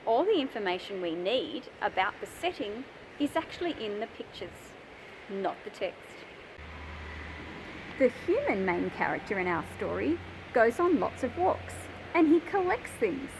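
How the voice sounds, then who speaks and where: Children's book presenter, female, 30s. Animated, higher-pitched, expressive explaining, recorded on a phone amid clear ambient noise. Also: unhurried. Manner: expressive, addressing children directly with emphatic phrasing. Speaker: host of a children's picture book channel. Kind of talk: explanatory presenting to young readers. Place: large industrial hall with old machinery.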